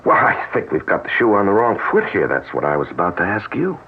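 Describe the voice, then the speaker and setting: Gravelly, very deep-voiced worried friend, stammering his offers of help; tinny old radio play. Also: huge pitch swings, heavily acted. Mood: concerned. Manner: hesitant, halting, well-meaning. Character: concerned, hesitant friend, grown man. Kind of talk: 1970s radio drama acting.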